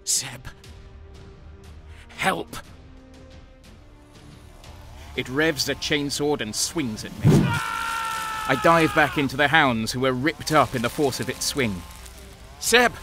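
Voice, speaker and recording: A man's voice: mid-range voice, slow, pauses often, natural voice. A man playing a renegade guardsman who narrates his own battles in a first-person audio drama. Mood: desperate, urgent. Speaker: narrator and main character. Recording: music behind the voice. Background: dramatic music.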